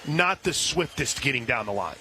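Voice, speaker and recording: An American man calling live softball play-by-play on the radio from an outdoor ballpark. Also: medium pitch, lively intonation, moderate pace. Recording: loud outdoor ballpark ambience.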